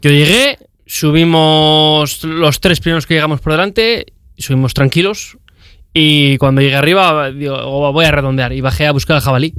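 Chatty man, smooth and dry. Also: on the high side, normal speed, no echo. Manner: chatty, animated, retells dialogue. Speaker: cycling guest. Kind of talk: radio interview, storytelling.

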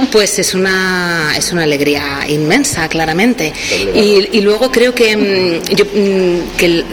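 A middle-aged woman with a Castilian Spanish accent, speaking spontaneously as a theatre director at an event. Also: mid-range voice. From Valladolid, Spain. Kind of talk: spontaneous spoken remarks to press. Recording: noisy on-location event recording.

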